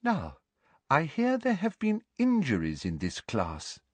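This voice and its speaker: A prim, sugar-sweet school inspector who murmurs her threats softly, as a man puts her on. Her high, lilting voice rises and falls, with a husky edge. It is recorded close and dry, like a storybook reading. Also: huge pitch swings, slow pace, no room sound, heavily acted. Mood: probing. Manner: sweet, soft and menacing.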